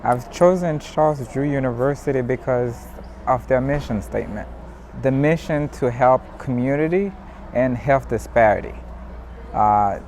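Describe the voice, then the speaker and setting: A man's voice: mid-range voice, darkish tone. Man from Haiti, faint background noise. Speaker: physician assistant student. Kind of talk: personal testimonial interview.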